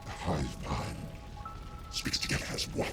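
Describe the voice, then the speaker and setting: An actor playing a menacing, grandiose machine hive-mind leader in a video game, declaiming with contempt. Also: male voice, lightly acted. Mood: menacing, solemn. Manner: slow, ominous, grandly declamatory. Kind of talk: video game cutscene acting. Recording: loud music over the voice.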